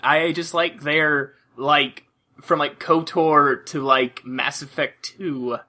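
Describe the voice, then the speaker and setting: A man chatting casually about video games as a podcast co-host, haltingly, often repeating and restarting his phrases. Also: a little high, fairly even intonation, pushed and bright.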